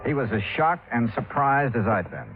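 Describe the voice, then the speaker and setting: A shrewd insurance investigator from a classic radio detective play, acted by a man. He is measured and matter-of-fact, yet his pitch moves freely. The sound is narrow and tinny, with music clearly behind it. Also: lively intonation, close to an everyday voice. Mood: businesslike. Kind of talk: 1950s-60s radio detective drama acting, first-person narration.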